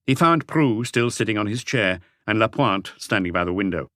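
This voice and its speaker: A man's voice: fairly low pitch, animated, normal speed, a bit bright, no echo, studio quality. A man reading scripted story narration as an audiobook narrator.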